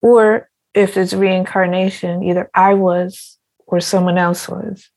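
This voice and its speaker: Woman, low gravelly voice, slow and even, reflective storytelling. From partly Ghanaian heritage. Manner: slow, musing, with fillers and repeats. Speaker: quilt artist guest.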